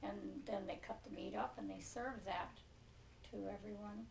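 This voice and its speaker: An American woman in her seventies reminiscing in an interview, speaking in a measured way with hesitant pauses. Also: mid-range voice, fairly even intonation, gravelly, a crowd in the background. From Pinole, California. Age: 70s. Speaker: oral history interviewee. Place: living room, on a leather couch. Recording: roomy, distant mic with faint noise.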